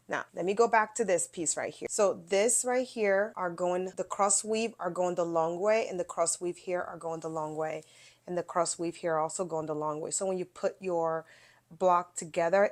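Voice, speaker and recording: Middle-aged woman, low-ish voice, slightly stuffy from a cold, relaxed and chatty as a crafting tutorial host. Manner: chatty, explains by showing, talks straight to viewers. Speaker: quilting video host. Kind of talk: casual step-by-step sewing tutorial.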